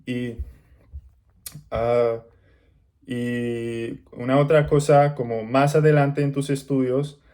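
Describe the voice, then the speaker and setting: Slow, mellow-voiced man learning the language. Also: medium pitch, fairly even intonation. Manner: halting learner's speech with pauses and restarts. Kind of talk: casual talk to camera.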